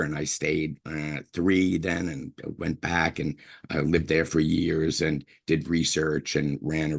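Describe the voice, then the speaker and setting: An older man from Brooklyn giving a university lecture as a linguistics professor, chatty and digressive, often clearing his throat. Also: very deep voice. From Brooklyn, New York.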